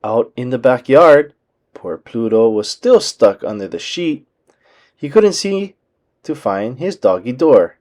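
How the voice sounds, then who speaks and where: A man reading a children's book aloud as an expressive storyteller. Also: medium pitch, takes it slowly.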